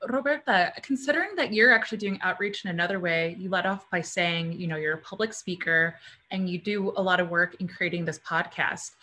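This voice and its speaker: A woman moderating a panel on a video call from a home room, asking engaged, animated questions. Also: fairly low pitch, brisk.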